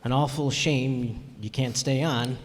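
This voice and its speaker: A man's voice: medium pitch, steady tone, close to an everyday voice. A man acting a kindly, regretful father in a radio play, speaking hesitantly. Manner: hesitant, halting. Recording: echoey hall, faint noise.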